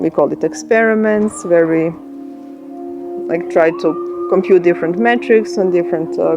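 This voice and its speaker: A woman in her thirties with a Croatian accent, a software engineer speaking in a documentary interview, thoughtful with pauses and restarts. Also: fairly low pitch. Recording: music bed under the voice.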